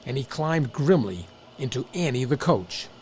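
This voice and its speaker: Animated male storybook narrator. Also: medium pitch, moderate pace, heavy background noise.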